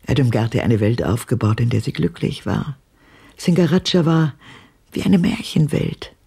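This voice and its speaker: A bitter old woman with a haunted air, in radio drama style. Her voice is very husky and low for a woman, with a gravelly creak. She speaks deliberately and pointedly, swinging widely in pitch and sinking into whispers. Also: expressive, dark tone, full character voice. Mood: haunted, intense. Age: older.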